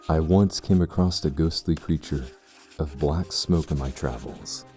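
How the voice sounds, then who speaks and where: An actor playing a thoughtful, curious traveller who narrates dark fantasy lore in a solemn, measured, formal way. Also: male voice, rumbling low. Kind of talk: scripted fantasy audio drama narration.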